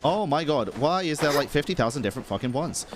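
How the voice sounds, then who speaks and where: A male game streamer with a fairly high voice that moves up and down a lot. He talks casually and expressively, with slang and swearing, over clear game music. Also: animated, fast talker. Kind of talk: casual live gaming commentary.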